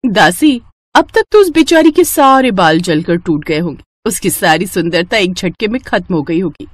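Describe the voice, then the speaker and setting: A woman's voice: fairly low pitch, animated, normal speed. A woman voicing a vain, cruel young princess in a cartoon story, haughty and commanding. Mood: scheming, gloating. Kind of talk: Hindi cartoon moral story acting.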